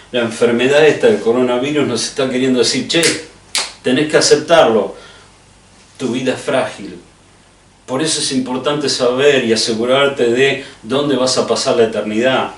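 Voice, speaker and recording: A man's voice: medium pitch, a little hiss or hum. A middle-aged man with a Rioplatense Spanish accent preaching conversationally, addressing the listener directly and earnestly with rhetorical questions. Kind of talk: conversational sermon. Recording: echoey room, distant microphone.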